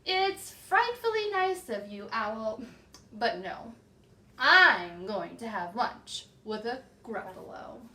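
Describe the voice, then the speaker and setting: A woman voicing a small, clever brown mouse in a storybook, polite and calmly mock-serious. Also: on the high side, slow pace, echoey room, recorded from a distance, pitched below the performer's own voice, full character voice.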